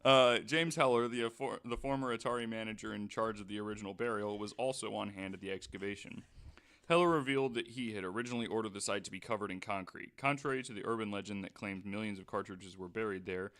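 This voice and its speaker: A man with a fairly low voice reads from his notes as podcast host, tripping over hard names now and then. He keeps breaking off for quick jokes and laughs in a casual chat. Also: a bit bright. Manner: reads from notes, joking asides, stumbles on names. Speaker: podcast host reading out a story. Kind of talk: casual chat, reading aloud.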